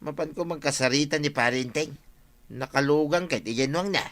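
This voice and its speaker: Man as a higher-voiced grumbling village husband. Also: normal speed, fairly bright tone, lightly acted. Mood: reluctant, grumbling. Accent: Ilocano. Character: put-upon village husband, grumbling but joking. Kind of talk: Filipino audio drama acting.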